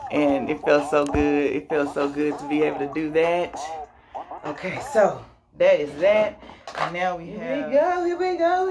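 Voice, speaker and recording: A woman in her forties chatting upbeat and casually while unboxing fitness gear in her small bedroom, talking to a camera a few feet away. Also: mid-range voice. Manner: chatty and upbeat, with laughs and exclamations. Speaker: fitness YouTuber. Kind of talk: casual product unboxing vlog. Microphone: camera mic at a few feet. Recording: music playing under the voice. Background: music.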